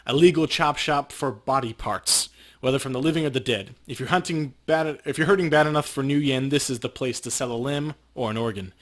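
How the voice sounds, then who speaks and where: A man's voice: mid-range voice, brisk. A man co-hosting a let's play, reading game text as narration and adding casual comments. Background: game music.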